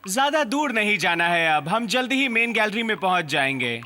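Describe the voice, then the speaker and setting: A man's voice: high voice, sing-song, normal speed, pushed and bright, soft background music, heavily acted. A man voicing an earnest, brave professor adventurer in a cartoon dub, urgent in manner, on a noisy track. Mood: determined. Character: earnest, brave male professor adventurer leading his friends. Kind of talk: animated cartoon dub acting.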